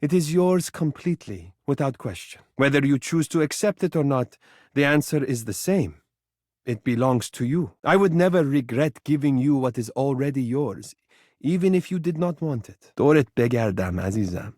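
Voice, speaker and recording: A man's voice: medium pitch, lively intonation, moderate pace, a little mellow, no echo, studio quality, lightly acted. A man voicing a vain, adoring mirror in a video game, speaking in flowery, formal, dramatic phrases. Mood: tender, devoted. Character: vain, romantic, adoring living mirror, theatrical and tender. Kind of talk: video game character voice acting.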